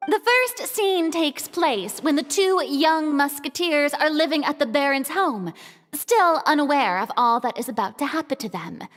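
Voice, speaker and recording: A woman's voice: high-pitched, animated, measured pace, big-room sound. A woman playing a theatrical, self-assured young female film director in a video game. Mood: commanding. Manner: theatrical, commanding.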